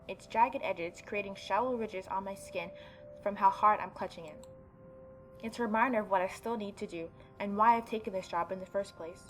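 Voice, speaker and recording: Chatty woman reading and reacting casually. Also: medium pitch. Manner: chatty, reactive, informal asides. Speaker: let's play host. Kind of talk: reading a visual novel aloud with casual commentary.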